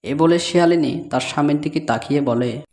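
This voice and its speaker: A man's voice: on the high side, fairly quick, darkish tone. A man narrating a scripted children's story, with music behind.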